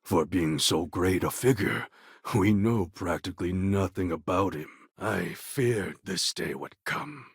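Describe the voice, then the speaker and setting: A man playing a proud, defiant cloned warlord and rebel leader in a video game, with a husky, gravelly voice and grandiose, deliberate, formal phrasing. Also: deep voice, expressive, no echo, studio quality, full character voice. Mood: grave, reflective. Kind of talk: dramatic video game character acting.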